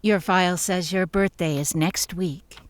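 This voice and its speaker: A woman acting a calm, clinical psychiatrist of sixty in an audio drama, measured and probing. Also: fairly low pitch, no room sound, close to an everyday voice. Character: sixty-year-old female psychiatrist, impassive, measured, probing.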